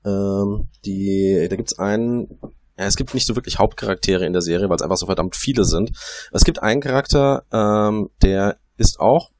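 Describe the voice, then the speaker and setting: A man in a casual panel discussion, speaking in a measured, deliberate way. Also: on the low side, no room sound, clean studio sound.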